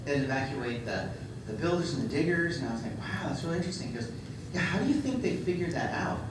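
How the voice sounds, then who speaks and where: A man's voice: on the high side, sing-song, fast. Man joking at a mic in an echoey hall. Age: middle-aged. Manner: self-deprecating joking, many ums. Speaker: conference speaker. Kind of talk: humorous public talk. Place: large hall with audience. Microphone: handheld microphone. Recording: echoey hall, distant mic, background noise.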